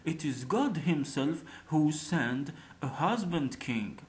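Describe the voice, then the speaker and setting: A man plays townspeople in a storybook tale. They call out urgently, with big swings in pitch. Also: animated, takes it slowly. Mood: urging. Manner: urgent calling out. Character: crowd of townspeople urging a girl on.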